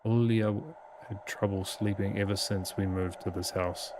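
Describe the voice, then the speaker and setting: A man's voice: on the low side, fairly even intonation, measured pace, noisy background. A man reading visual novel narration aloud as a let's play host, occasionally stumbling and repeating phrases. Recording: game music behind the voice. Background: horror game music.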